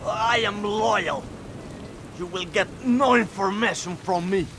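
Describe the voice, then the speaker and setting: Casting: guerrilla prisoner, high gravelly voice, defiant yet cowed, rainy rumble behind, action game. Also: male voice, slow pace, heavily acted. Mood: strained defiance. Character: defiant male Latin American guerrilla who cracks under threat. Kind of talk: video game voice acting.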